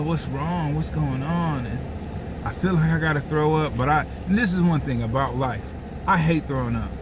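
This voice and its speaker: Man, slightly high voice, casual comedic storyteller, slangy, rambling, repeats phrases, noisy ambient background. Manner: slangy, conversational asides. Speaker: storyteller and host.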